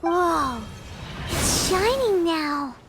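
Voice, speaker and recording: A woman's voice: extremely high pitch, animated, drawn-out words, over music, heavily acted. A woman voicing a small childlike fairy companion in a video game, chatty, curious and wide-eyed, in a cartoonish style. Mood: amazed.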